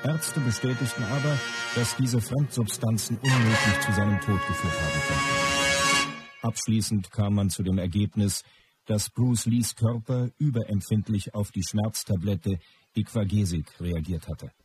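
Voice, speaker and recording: A man's voice: on the low side, normal speed, natural voice. A male actor playing a solemn, declamatory narrator in a scripted radio documentary. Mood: matter-of-fact. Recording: loud music behind the voice.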